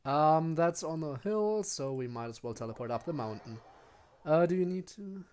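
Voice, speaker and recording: A man's voice: a little high, lively intonation, moderate pace, a little mellow, clean tone. A man giving casual livestream commentary as a game streamer, explaining things in a hesitant, rambling way. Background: faint music.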